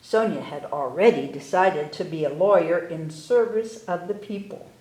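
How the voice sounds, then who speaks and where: A woman hosting a library story time, reading aloud to children with deliberate phrasing. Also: on the low side, a little mellow. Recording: roomy, echoey indoor sound.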